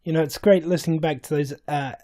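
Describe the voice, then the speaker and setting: A man's voice: a little high, fairly even intonation, fast talker, dark tone. A man hosting, casually introducing behind-the-scenes items. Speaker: host.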